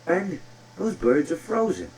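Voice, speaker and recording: A man's voice: on the high side, very animated, unhurried, darkish tone, far from the mic, a little hiss or hum, poor audio. A man voicing a mild-mannered, muddled animatronic animal in a video game, rambling hesitantly through pointless stories. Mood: rambling, apologetic. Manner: rambling, hesitant, digressive storytelling. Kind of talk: video game character monologue.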